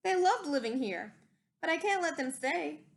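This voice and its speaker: A woman's voice: high-pitched, animated, moderate pace, creaky, pitched above the performer's own voice. A woman voicing an indignant old forest creature from a storybook, scolding emphatically in rhyme. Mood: indignant, sorrowful.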